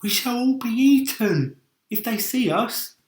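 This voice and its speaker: A man's voice: extremely high pitch, expressive, measured pace, pitched above the performer's own voice. A man voicing a fearful, whining blind earthworm for a storybook, quavering and fretful. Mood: alarmed, hushing. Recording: echoey room.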